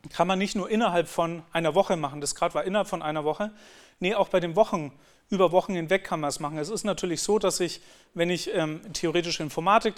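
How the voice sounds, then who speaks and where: A man giving a talk on teaching, explaining in an earnest way and often restarting his sentences. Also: a little high. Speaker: lecturer.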